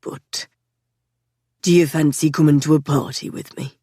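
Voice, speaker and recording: A low, tired young man, protective and dryly teasing, as a woman narrator puts him on. The storybook voice sits close and dry, with the pitch pushed well down. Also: fairly even intonation, measured pace, mellow, breathy edge, no room sound. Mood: weary.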